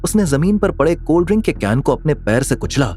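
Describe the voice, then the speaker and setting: Animated male dramatic story narrator. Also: fairly high pitch, brisk. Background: music.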